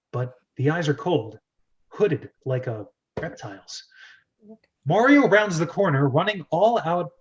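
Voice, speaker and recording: High-voiced man reading a script, slightly muffled. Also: husky. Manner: often laughs and breaks off into asides. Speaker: host and narrator of a script read-through. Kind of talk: casual amateur screenplay table read, reading stage directions.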